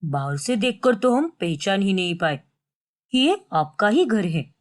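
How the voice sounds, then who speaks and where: A woman's voice: mid-range voice, big pitch swings, measured pace. A woman voicing a humble, forgiving male temple priest in a cartoon story, polite and conciliatory. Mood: polite, mild.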